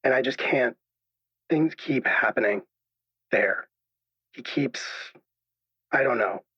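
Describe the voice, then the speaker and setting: Man playing an anxious, husky, halting radio caller. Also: mid-range voice. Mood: defensive, anxious. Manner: hesitant, halting, stammering, apologetic. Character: anxious, troubled male caller unsure of his own reality. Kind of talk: improvised radio drama. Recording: dull lo-fi echoey call sound.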